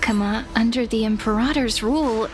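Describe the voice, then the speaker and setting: High-voiced, sweet young heroine played by an actress. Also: female voice, brisk, close to an everyday voice. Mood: pensive. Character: sweet, curious young heroine traveling companion. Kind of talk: scripted video game voice acting. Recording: music behind the voice.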